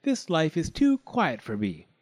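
Storybook young male chick, man acting: naughty, self-important, highish, animated. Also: measured pace. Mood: cocky. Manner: dismissive, cocky. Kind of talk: storybook character voice.

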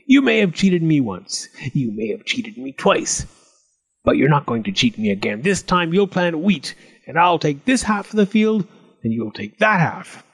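A greedy, hot-tempered storybook goblin, acted out by a man, sly and taunting. The voice swings widely in pitch as it grumbles and demands. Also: sing-song, moderate pace, husky, heavily acted. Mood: indignant, demanding.

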